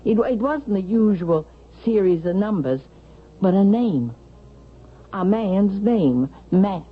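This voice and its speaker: A woman's voice: mid-range voice, expressive, takes it slowly, husky. An actress playing a troubled, stubborn mother in a 1970s radio drama. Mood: urgent, fearful. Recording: thin phone-like vintage radio sound.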